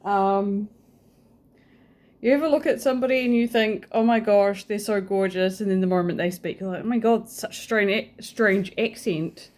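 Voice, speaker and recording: A woman's voice: mid-range voice, moderate pace, a little mellow. A woman with a New Zealand accent, rambling casually to camera in a vlog, with many ums and restarts. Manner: hesitant, many fillers and restarts. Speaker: vlogger.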